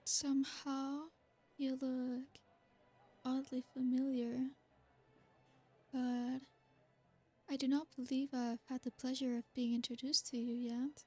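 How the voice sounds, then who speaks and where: Woman voicing a soft-spoken ancient vampire lady. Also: high-pitched, takes it slowly, long pauses, faint music behind. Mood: flirtatious. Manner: soft, formal and flattering. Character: ancient aristocratic female vampire, seductive and possessive. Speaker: ASMR roleplay performer. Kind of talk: ASMR roleplay.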